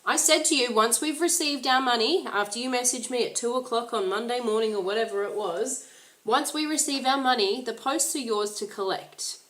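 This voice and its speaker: A woman's voice: fairly high pitch, moderate pace, a bit bright, echoey room. A woman arguing firmly and bluntly, exasperated and demanding. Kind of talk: heated phone argument.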